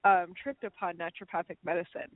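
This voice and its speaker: Woman, 30s, radio guest, relaxed conversational, dry thin phone sound. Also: on the low side, quick pace, no echo, narrow, tinny audio. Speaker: naturopathic doctor guest. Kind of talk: radio interview.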